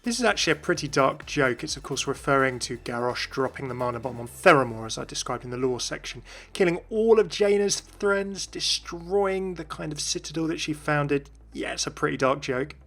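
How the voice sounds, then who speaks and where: Higher-pitched man narrating over music. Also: expressive, a little fast, gravelly, hardly any pauses, fairly bright tone. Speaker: gaming video host. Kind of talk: explanatory commentary narration.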